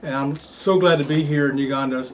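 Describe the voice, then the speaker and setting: A man's voice: a little high, a little fast, a crowd in the background, poor audio. A man giving an enthusiastic video update about a trip. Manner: enthusiastic and warm-hearted.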